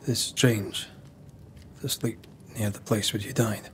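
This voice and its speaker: A man's voice: on the low side, fairly even intonation, slow, a little hiss or hum. A man playing an earnest, troubled ghost hunter in a dramatic game, speaking plainly and sombrely. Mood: pensive.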